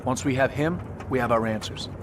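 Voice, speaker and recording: A man playing a brisk Navy SEAL commander in a military video game, clipped and authoritative. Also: mid-range voice, background noise, close to an everyday voice. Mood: decisive, businesslike. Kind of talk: cinematic military game acting. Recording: music behind the voice.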